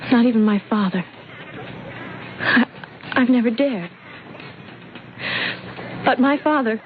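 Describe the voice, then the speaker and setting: A woman's voice: on the high side, natural voice. An actress playing a sensitive, impressionable young woman with hesitant wonder, in old-time radio drama style. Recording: muffled radio recording with noise.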